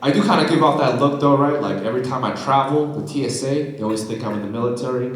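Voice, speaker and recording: A man from China doing a stand-up comedy set on a festival stage, with deadpan punchlines and teasing of the crowd. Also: mid-range voice, quick pace. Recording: echoey theater, loud audience laughter.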